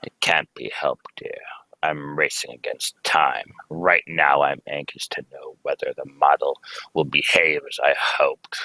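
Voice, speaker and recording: A man's voice: very deep voice, fairly even intonation, a little slow, bright, forceful tone, no room sound, full character voice, poor audio. A young man playing a grave, urgent alien scientist and family man in a live radio play reading, speaking in solemn, theatrical pronouncements. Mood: earnest. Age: young adult. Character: grave, urgent Kryptonian scientist, husband and father. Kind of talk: live amateur reading of old radio script.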